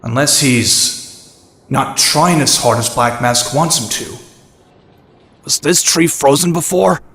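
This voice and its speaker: An actor playing a wisecracking, cynical young vigilante antihero in an animated comic, narrating himself in a dry, sardonic manner. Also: male voice, mid-range voice, slow. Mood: wry. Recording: roomy, echoing sound.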